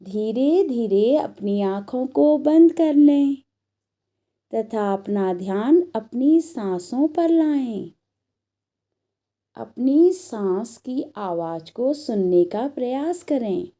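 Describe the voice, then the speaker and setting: A woman teacher in a scripted storytelling lesson for children, speaking in a deliberate, instructional manner and addressing the children directly. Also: a little high, lively intonation, mellow.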